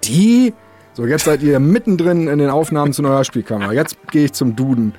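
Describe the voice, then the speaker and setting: A man with a somewhat husky voice chats casually as a podcast host. He rambles in a self-deprecating way and jumps between thoughts, with faint music underneath. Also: on the high side, expressive, brisk, mellow.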